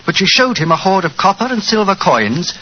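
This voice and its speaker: An actor playing a composed, polite gentleman in a 1940s radio mystery. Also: high-pitched, sing-song, brisk, full character voice. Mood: composed, solemn. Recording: noisy, muffled 1940s radio recording.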